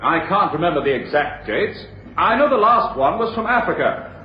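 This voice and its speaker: A composed middle-aged claimant in a radio play. He testifies formally in a fairly high, lively voice. The muffled sound has a strong echo. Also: normal speed. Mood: composed, precise. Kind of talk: 1980s radio drama acting. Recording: echoey, dull radio broadcast, faint noise.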